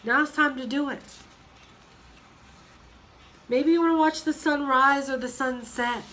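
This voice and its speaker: A woman in her thirties with a cold, hoarse and sniffly, chatting casually in a rambling way with many fillers and restarts. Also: sits high, a little slow, fairly bright tone, poor audio. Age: 30s. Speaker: vlogger. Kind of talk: casual chat.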